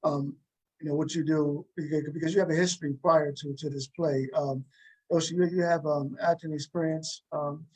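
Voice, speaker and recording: A man with a fairly high-pitched voice hosts an interview in a conversational way. He feels his way through questions, often doubling back on words. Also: steady tone, moderate pace, darkish tone, breathy edge, poor audio. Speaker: host and interviewer.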